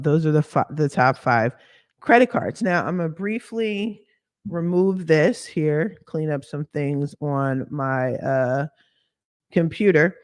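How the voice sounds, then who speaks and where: A hoarse woman whose voice has dropped deeper from a lingering cough talks through a casual screen-share walkthrough. She runs a credit repair business and speaks candidly in slang, with sassy asides. Her voice is recorded dry and close on a computer mic. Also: no room sound.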